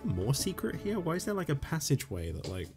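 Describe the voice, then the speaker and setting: A man rambling casually and swearing while playing a game, his voice going hoarse. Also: a little high, wildly swooping pitch, quick pace, over music. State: losing his voice. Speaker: gaming YouTuber. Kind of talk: casual let's-play commentary.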